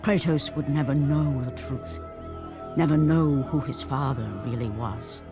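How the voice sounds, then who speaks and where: An actress playing a grave storyteller, narrating a mythic tale for a game in a solemn, portentous way. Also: female voice, extremely low pitch, unhurried, over music. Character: grave storyteller woman recounting Greek myth.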